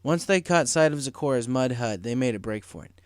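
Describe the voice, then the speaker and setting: A man's voice: medium pitch, lively intonation, fairly quick, clean tone, no echo, clean studio sound. A man reading a scripted story aloud as its narrator. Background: music.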